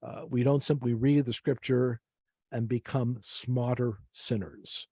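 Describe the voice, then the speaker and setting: An American man, middle-aged or older, teaching a Bible class informally from slides in a deliberate, explanatory way, repeating key phrases for emphasis. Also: mid-range voice, fairly even intonation, warm tone, breathy edge, dry recording. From United States.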